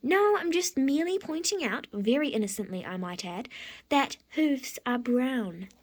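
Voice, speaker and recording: A woman voicing a cheeky little pony in a horse story, correcting others with deadpan mock innocence. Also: high voice, moderate pace. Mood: smug, mock-innocent.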